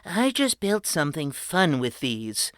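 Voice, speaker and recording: A woman voicing a kind, handy painter who talks gently and a little hesitantly. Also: sits low, very animated, measured pace, no room sound, studio quality, full character voice. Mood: curious.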